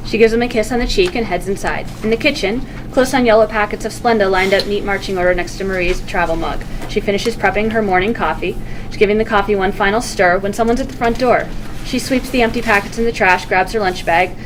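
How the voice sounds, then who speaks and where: A woman's voice: medium pitch, fairly even intonation, fast talker. A woman reading screenplay stage directions aloud as scripted narration. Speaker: stage directions reader at a table read. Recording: noisy room recording.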